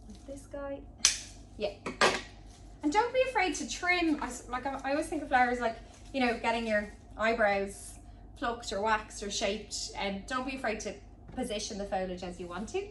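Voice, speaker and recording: A woman giving a chatty, informal hands-on tutorial as a florist, talking casually as she demonstrates. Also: a little high. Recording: roomy echo, distant mic, background noise.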